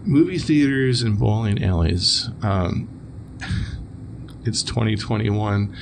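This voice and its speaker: A man's voice: fairly low pitch, takes it slowly, creaky, a little hiss or hum. A man from Wisconsin hosting a casual interview podcast, easygoing and chuckling as he asks follow-up questions. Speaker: podcast host.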